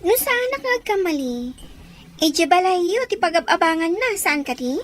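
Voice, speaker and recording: A woman's voice: extremely high pitch, sing-song, moderate pace, a little hiss or hum. A woman playing an outspoken, gossipy young woman in an audio drama, chatty and questioning. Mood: teasing, scolding.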